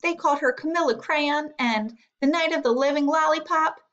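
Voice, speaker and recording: A woman's voice: high-pitched, normal speed, a bit bright, muffled recording. A woman teacher reading a storybook aloud and guiding children with instructive questions. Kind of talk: storybook read-aloud with guided lesson.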